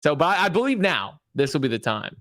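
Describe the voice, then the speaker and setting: A man's voice: a little high, very animated, quick pace, a bit bright, dry recording, clean studio sound. A man chatting casually as an esports panelist, insistently repeating and circling back on his points. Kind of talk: casual chat.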